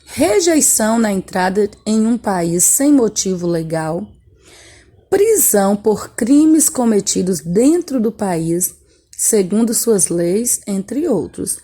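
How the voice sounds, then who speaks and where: A woman's voice: medium pitch, big pitch swings, slow pace, dark tone. A woman from Bahia, teaching a chatty remote lesson from a textbook and talking to her pupils directly. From Bahia, Brazil. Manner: chatty, friendly, often tags "né?" and speaks to pupils directly. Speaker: schoolteacher.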